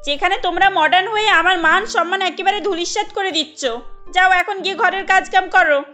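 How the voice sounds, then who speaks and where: A woman voicing a scolding, old-fashioned mother-in-law in an animated family story, indignant and lamenting. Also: extremely high pitch, a little fast, soft background music. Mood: exasperated lament.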